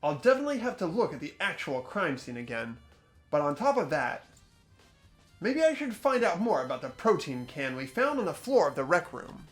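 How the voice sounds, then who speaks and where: A young man hosting a game playthrough, switching between expressive scripted narration and casual commentary. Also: a little high, normal speed. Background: soft game music.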